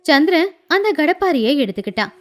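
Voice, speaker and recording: A female storyteller reads scripted narration for a cartoon fable. Her voice is high and very varied in pitch. Music plays clearly underneath. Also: sing-song, brisk.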